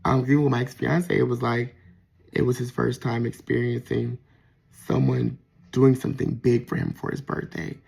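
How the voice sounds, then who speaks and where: A man with a soft, gentle voice that carries a gravelly, creaky texture and a husky, slightly breathy edge. He muses out loud in a casual, personal monologue, stopping and restarting as he gathers his thoughts. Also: mid-range voice, level tone, normal speed. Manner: reflective, with frequent fillers and restarts. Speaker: vlogger.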